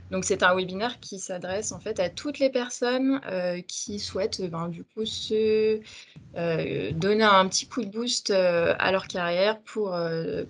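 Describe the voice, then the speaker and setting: A young woman opens an online webinar, hesitating often and filling pauses as she goes. Her voice sits at a middle pitch, with a faint background hiss from the call. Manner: hesitant, many fillers. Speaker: communications and recruitment officer, webinar host. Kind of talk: webinar introduction.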